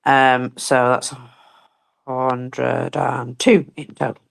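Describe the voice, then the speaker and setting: A woman with a very low voice reads out numbers in a casual live commentary. She talks bluntly and swears freely, with chatty asides along the way. Her voice is going hoarse as she loses it. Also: flat delivery, takes it slowly. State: losing her voice. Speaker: co-host reading daily war figures.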